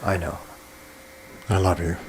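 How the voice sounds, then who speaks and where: A man's voice: sits low, little pitch movement, measured pace, slight background noise, rough recording. A man playing a weary, lovesick scientist-soldier in a retro science fiction audio drama, subdued and anguished. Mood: aching, resigned. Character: weary, lovesick male scientist-soldier pining for his lover.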